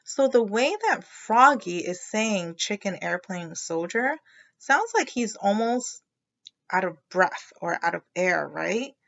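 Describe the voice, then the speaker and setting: A woman teacher gives a children's read-aloud, explaining and checking understanding as she goes. Her voice is bright and very animated at an unhurried pace, on a slightly dull recording. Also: medium pitch, creaky. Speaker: teacher reading a picture book aloud.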